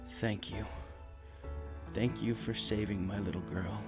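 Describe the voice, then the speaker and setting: A narrator voicing a warm, gruff pegasus father who runs a factory, in a storybook reading. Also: low voice, fairly even intonation, a little slow, music in the background, pitched below the performer's own voice. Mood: tender, accepting.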